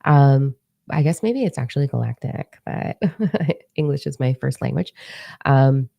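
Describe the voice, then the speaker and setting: Low, gravelly-voiced woman chatting casually. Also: unhurried, mellow, dry recording. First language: Italian. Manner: rambling, chatty, frequent ums and self-corrections. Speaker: spiritual channeler. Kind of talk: casual monologue to camera.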